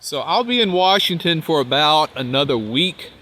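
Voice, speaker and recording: A man with a congested, nasal voice, talking casually to the camera outdoors as a van life vlogger, rambling and trailing off quietly. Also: fairly high pitch, expressive, moderate pace. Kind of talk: casual vlog talk while walking. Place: outdoors by a riverbank park.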